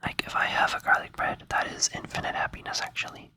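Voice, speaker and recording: A person rambling in a breathy whisper close to the microphone for ASMR, sounding sleepy and hesitant, with a playful, soothing way of talking. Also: quick pace, bright, forceful tone, no room sound. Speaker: ASMR creator.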